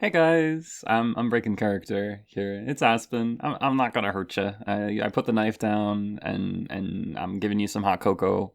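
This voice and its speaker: Gravelly young man chatting casually. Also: on the low side, steady tone, normal speed, few pauses. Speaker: ASMR voice actor and channel host. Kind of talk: casual out-of-character thank-you to listeners.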